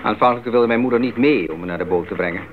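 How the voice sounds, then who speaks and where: An actor playing a determined, curious young male missionary in a 1980s radio drama, with earnest, clear phrasing. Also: on the low side, racing, close to an everyday voice. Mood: reflective. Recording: narrow-band 1980s radio recording, faint noise.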